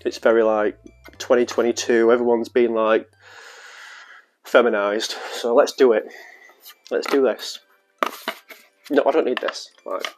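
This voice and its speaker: A man's voice: mid-range voice, steady tone, unhurried, long pauses, soft background music. Chatty young Northern English man. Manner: chatty, playful, rambling with fillers. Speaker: vlogger. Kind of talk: casual chat to camera. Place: small room at home, later outdoors in a park. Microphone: lapel mic.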